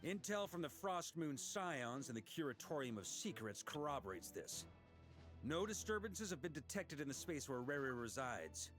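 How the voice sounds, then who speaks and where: A frank knight leader. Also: male voice, fairly high pitch, very animated, moderate pace, a bit bright, soft background music. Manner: friendly and direct, briefing others. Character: commanding male knight leader, calm and frank. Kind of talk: game voice acting.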